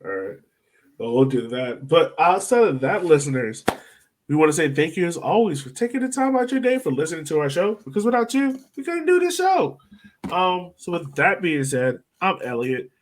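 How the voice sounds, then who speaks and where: A man's voice: a little high, sing-song, moderate pace, a little hiss or hum. A man hosting a podcast, chatting casually about sports. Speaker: podcast host.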